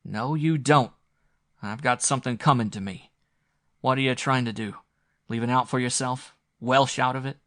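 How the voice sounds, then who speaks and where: A man's voice: fairly low pitch, a little fast. A man reading aloud and voicing a wounded, hunted man who sneers and jeers bitterly. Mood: heated. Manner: sneering, jeering, loud.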